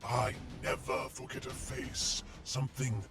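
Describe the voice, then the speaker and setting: Man as a slow, haughty metallic overlord. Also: medium pitch, fairly even intonation, lightly acted. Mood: gloating menace. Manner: mocking, pompous, theatrical. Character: ancient soulless metallic robot overlord, haughty and menacing. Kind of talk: scripted audio drama acting. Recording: music behind the voice.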